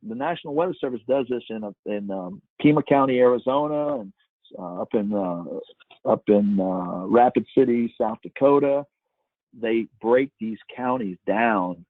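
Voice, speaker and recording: Tinny phone-line audio, man, slightly low pitch, husky tone, emphatic advice, informal expert answers. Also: no echo. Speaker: guest expert presenter. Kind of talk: informal webinar question-and-answer.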